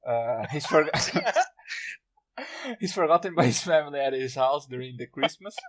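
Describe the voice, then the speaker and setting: A man's voice: fairly high pitch, expressive, unhurried. A man on a podcast chatting casually and telling a short story he wrote. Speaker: podcast participant, amateur writer. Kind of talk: casual chat, recounting own short story.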